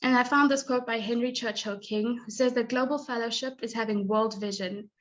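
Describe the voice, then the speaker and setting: A woman's voice: a little high, steady tone, brisk, a bit bright, muffled recording. A woman, giving a prepared talk as a guest speaker and sliding in small laughs and fillers. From born in Zimbabwe, raised in South Africa. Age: 20s. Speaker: guest speaker, graduate student.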